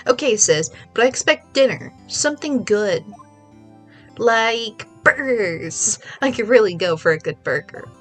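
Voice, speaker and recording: A woman's voice: on the high side, animated, a little slow, fairly bright tone, faint music behind. A woman with a stuffy voice voicing a perky, teasing young woman, a kid sister bantering on the phone in a video game read-along. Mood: playful.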